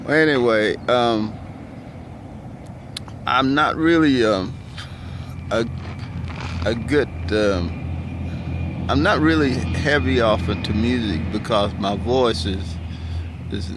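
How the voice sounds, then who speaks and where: A middle-aged man talks slowly in a baritone, stopping often to restart his thoughts with loose filler words. He records outdoors, and passing cars and street noise are clearly heard behind him. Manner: hesitant, many ums and restarts, loose and conversational. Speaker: vlogger. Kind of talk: casual rambling monologue.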